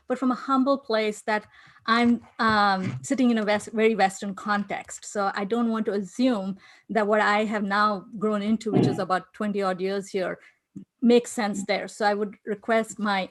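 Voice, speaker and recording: Indian woman, conference speaker on a video call, slightly high voice, earnest and hesitant. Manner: earnest, with frequent fillers and restarts. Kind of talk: online conference talk. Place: home in New York City.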